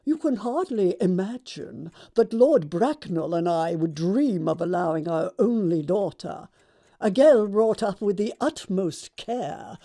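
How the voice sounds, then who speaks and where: An actress playing an imperious, haughty upper-class English society matron, pompous and emphatic, in an audio production of a stage comedy. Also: female voice, mid-range voice, dark tone. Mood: indignant. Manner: pompous, emphatic, deliberate enunciation.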